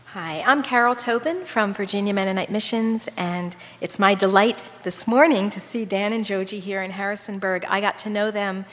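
A woman introduces a visiting speaker to a congregation, hesitating and filling pauses. Her voice carries a long reverb from the large room. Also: medium pitch, a bit bright. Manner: hesitant, many fillers. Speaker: mission representative introducing a guest. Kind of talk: casual introduction. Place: church sanctuary.

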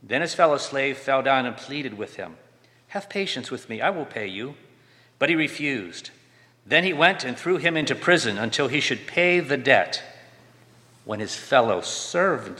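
A man reads scripture expressively, his voice bright and his pitch moving a lot. The reading rings out with a long reverb in the sanctuary. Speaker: scripture reader.

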